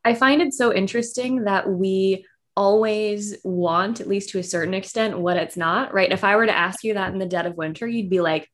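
A young woman from southern Virginia hosting a podcast, chatty and quick to laugh. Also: medium pitch. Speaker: podcast host. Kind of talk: casual podcast chat.

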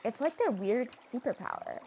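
A woman's voice: on the high side, wildly swooping pitch, normal speed, background noise. A woman playing a frank, wry teenage girl in a comedy radio play, talking in a confiding monologue.

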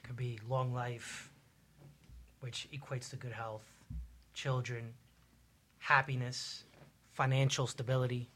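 A man's voice: medium pitch, little pitch movement, takes it slowly, long pauses, fairly bright tone. A man speaking as a guest in a casual panel discussion. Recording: noisy line with clicks.

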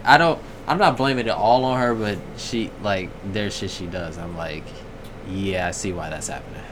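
A young man co-hosting a casual podcast chat, laid-back and deadpan, swearing freely. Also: low-pitched, moderate pace. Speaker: podcast co-host. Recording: noisy room background.

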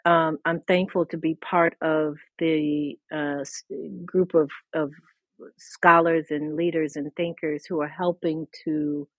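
A woman's voice: low-pitched, flat delivery, takes it slowly, no room sound, dull audio. A woman author and scholar talking in a thoughtful, lecturing way, with restarts and laughs, in a podcast conversation. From mid-Atlantic United States.

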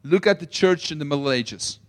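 A young South African man preaching a sermon, telling a story with swings between loud emphasis and quiet asides. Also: on the high side, expressive, normal speed. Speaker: pastor.

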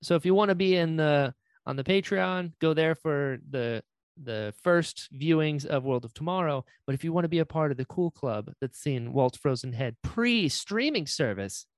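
A man's voice: on the high side, expressive, normal speed, no room sound. A man hosting a casual interview podcast, speaking enthusiastically and readily affirming his guest. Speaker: podcast host.

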